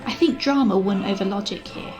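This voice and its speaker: A woman's voice: medium pitch, a little fast, a little mellow, soft background music. A woman delivering scripted, sarcastic film commentary with exasperated asides. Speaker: video essay host, film reviewer. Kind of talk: scripted critical commentary on screen dramas.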